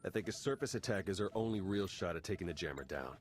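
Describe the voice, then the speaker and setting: Deep-voiced, wry hacker-spy in a game, dry humor, quick to the point. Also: male voice, expressive, faint music behind. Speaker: spy and slicer. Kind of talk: video game cutscene acting.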